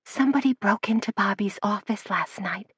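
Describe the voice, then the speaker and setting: A woman's voice: moderate pace, no room sound, close to an everyday voice. A woman reading the lines of a flustered young female assistant, her voice raised and words tumbling out. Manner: breathless, words tumbling out, higher pitched.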